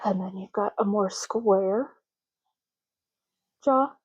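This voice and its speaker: A woman speaking softly in an ASMR roleplay, hushed, unhurried and gently explanatory, close to the microphone. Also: mid-range voice, breathy edge, no echo, dull audio. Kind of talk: soft-spoken ASMR roleplay.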